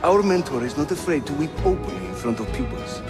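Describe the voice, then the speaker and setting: A man's voice: fairly high pitch, expressive, quick pace, loud music behind the voice, close to an everyday voice. An actor playing a jovial, roguish Ottoman assassin guide in a video game, with a Turkish accent and a theatrical, teasing manner. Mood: teasing, brisk. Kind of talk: video game cutscene acting.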